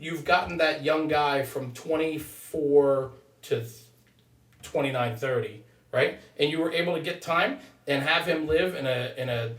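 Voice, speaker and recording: A man's voice: a little high, fairly bright tone. A man explaining his opinions conversationally, emphatically punctuating his points with "right?". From United States. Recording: roomy echo, distant from mic.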